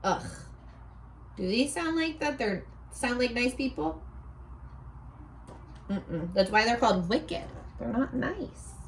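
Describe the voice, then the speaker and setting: A high-voiced woman reads a storybook to young children as their teacher. Her delivery is unhurried and very animated, with sing-song phrasing and questions that invite the listeners to answer. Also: a little hiss or hum.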